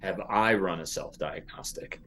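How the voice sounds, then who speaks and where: A man playing a tabletop role-playing game in casual improvised speech, haltingly mulling things over aloud. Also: sits low, fairly bright tone, slight background noise.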